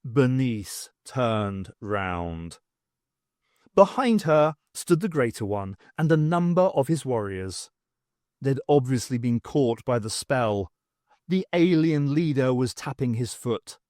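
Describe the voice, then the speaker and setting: A man's voice: mid-range voice, very animated, slow, a little mellow, dry recording, clean studio sound. A man reading a scripted story aloud as an audiobook narrator. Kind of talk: scripted narration of a fan audiobook, acting out dialogue.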